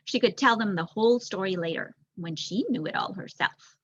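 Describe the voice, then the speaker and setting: A woman's voice: medium pitch, normal speed, a bit bright, slight background noise. A young adult woman reading a children's novel aloud as a storyteller, on a dull recording. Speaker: volunteer story reader, student.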